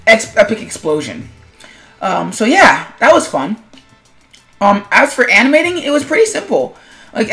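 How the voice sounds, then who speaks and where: A woman's voice: medium pitch, creaky, fairly bright tone. Casual, rambling woman over music. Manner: rambling, self-deprecating, conversational. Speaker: webcomic animator and video host. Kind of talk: casual commentary vlog on own animation.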